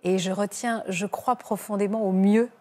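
Gentle woman talk show host. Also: mid-range voice, steady tone, moderate pace. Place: TV studio set. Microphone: lapel mic.

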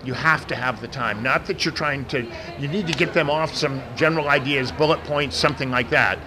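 A man's voice: on the high side, brisk, over music. A middle-aged American man who says he has a big voice, answering interview questions with many restarts. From United States. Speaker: interview guest, event professional. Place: outdoor event media wall. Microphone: handheld mic held by interviewer. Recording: outdoor traffic noise behind.

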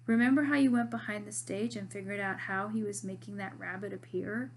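A woman reading aloud, voicing a spirited, blunt schoolgirl who is a loyal best friend, in a lively, emphatic way. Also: medium pitch, brisk, breathy edge. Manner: lively, emphatic storybook dialogue.

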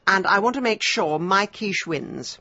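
A woman's voice: mid-range voice, expressive, measured pace, pushed and bright, heavily acted. An actress with an English accent playing a brusque, sardonic middle-aged city woman in a radio drama, dry and haughty in manner. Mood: dry, brisk. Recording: dull lo-fi radio drama with music.

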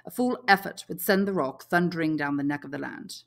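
A woman's voice: sits low, a little fast, gravelly. A woman reading a novel aloud as scripted audiobook narration. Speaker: English teacher, audiobook reader.

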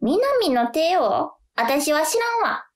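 Cheeky high-voiced Osaka schoolgirl. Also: very animated, takes it slowly, exaggerated voice. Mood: chatty, earnest. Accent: Kansai. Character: cheeky, chatty fifth-grade Osaka schoolgirl, the daughter. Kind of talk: radio drama acting.

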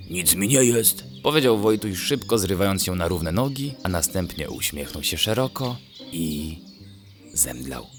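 A man narrating a children's bedtime story in an expressive storytelling manner. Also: deep voice.

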